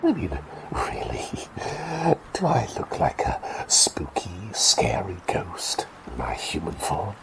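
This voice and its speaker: A man's voice: sits low, wildly swooping pitch, slow pace, vocal fry, exaggerated voice, poor audio. A man voicing a quirky, eccentric male plague doctor who has turned into a small talking bird, chatting and rambling in a whispered roleplay. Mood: soothing whisper. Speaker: ASMR roleplay performer.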